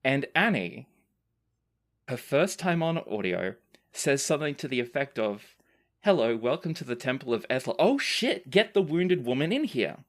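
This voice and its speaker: A man's voice: mid-range voice, sing-song, normal speed. A man hosting a casual question-and-answer chat as game master, speaking in a sincere, appreciative way. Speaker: podcast game master and Q&A host.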